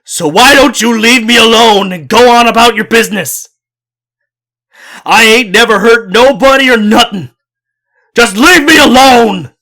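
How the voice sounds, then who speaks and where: A man's voice: very high voice, unhurried, pitched above the performer's own voice, exaggerated voice. A man doing a terrified old mountain man. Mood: terrified yelling. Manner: loud, desperate yelling, rural speech.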